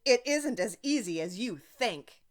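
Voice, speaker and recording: A stout, hostile hammerhead man from a storybook, acted out by a woman. He is blunt, rough and defiant, with swinging pitch and an unhurried delivery. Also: expressive. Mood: mocking.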